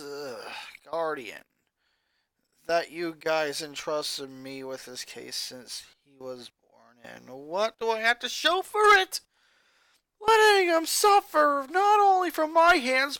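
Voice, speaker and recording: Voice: sits high, bright, forceful tone, no room sound, pitched above the performer's own voice, full character voice. A narrator putting on an angry, guilt-ridden teen musician sister. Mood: ashamed pleading. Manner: angry, then shaken and tearful.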